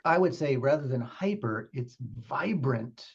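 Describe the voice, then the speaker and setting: A man teaches meditation informally, feeling his way through explanations with reflective pauses and restarts. His voice has plenty of gravelly fry and is a little husky, and the recording sounds somewhat muffled. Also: medium pitch, expressive. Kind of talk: unscripted spiritual teaching with group questions.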